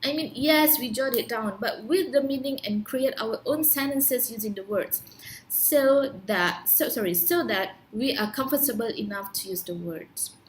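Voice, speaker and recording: Young Malaysian-accented woman, English teacher, Malay first language; earnest instructive monologue, slightly high voice. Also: moderate pace, slight background noise, poor audio. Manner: earnest and instructive, with rhetorical questions. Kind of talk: prepared talk to camera.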